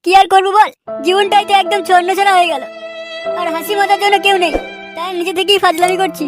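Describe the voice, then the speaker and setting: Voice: very high-pitched, fairly even intonation, quick pace, over music. A performer voicing a hot-headed, lazy troublemaker in a Bengali comedy cartoon, crude and blustering. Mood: joking.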